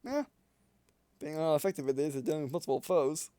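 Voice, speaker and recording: Mellow, offhand male gamer commentary. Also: a little high, expressive, brisk, no echo. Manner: hesitant, offhand, rambling. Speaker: gamer playing and commenting on a visual novel. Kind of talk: casual let's play commentary.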